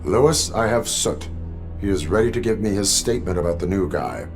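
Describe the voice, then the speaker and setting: A male cyborg police officer in a game cutscene. His voice sits very low, with big swings in pitch as he gives stern, deliberate commands. He is stoic and bound to the law. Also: faint music behind. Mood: cold, commanding. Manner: flat, deliberate, commanding delivery. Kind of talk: video game cutscene acting.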